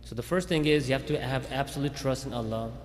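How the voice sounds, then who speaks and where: A man's voice: mid-range voice, fast, slight background noise. Man speaking in a reverberant hall. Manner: conversational, with frequent restarts and "okay?" check-ins. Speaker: police chief speaking at a community event. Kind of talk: informal faith talk to a community audience. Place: Islamic center hall.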